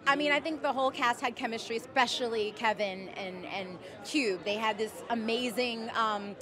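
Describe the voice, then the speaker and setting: A woman's voice: fairly high pitch, normal speed. A woman in her thirties, an actress, gushing in an outdoor red carpet interview into a handheld mic. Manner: bubbly, gushing. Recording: crowd chatter behind.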